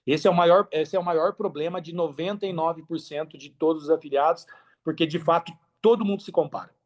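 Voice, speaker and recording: A Brazilian man with a slightly high voice talks as a guest on a podcast. His delivery is casual and emphatic, full of slang and repeated phrases for stress. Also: brisk. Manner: emphatic, repeats phrases, slangy, animated storytelling with acted-out quotes. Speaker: podcast guest, affiliate marketer.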